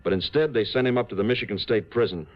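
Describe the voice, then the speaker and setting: A man playing a serious, brisk insurance office manager in a 1950s radio drama. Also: fairly low pitch, close to an everyday voice. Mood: serious, businesslike. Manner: brisk, earnest. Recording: muffled vintage radio recording.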